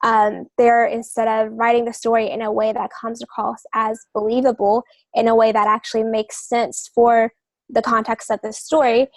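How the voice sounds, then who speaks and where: A woman's voice: a little high, level tone, moderate pace, no echo. A woman co-hosting a podcast, explaining earnestly in casual talk. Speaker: podcast co-host, author.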